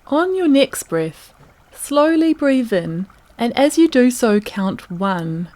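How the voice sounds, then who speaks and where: Slow-paced woman guiding a meditation. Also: a little high. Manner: calm, unhurried, gentle instructing with long pauses. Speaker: meditation guide. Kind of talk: guided meditation narration.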